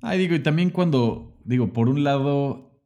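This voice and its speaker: A man interviewing a guest in a casual chat, reflective and hesitant, pausing to search for words. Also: mid-range voice, mellow. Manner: hesitant, with many fillers and restarts, reflective.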